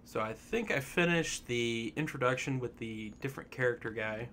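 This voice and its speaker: A man's voice: bright, forceful tone, slight background noise. A man giving casual, sparse commentary on a game he is playing, with a slight cough. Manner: casual, slow and low-key. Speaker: gameplay commentator. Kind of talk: sparse let's-play commentary.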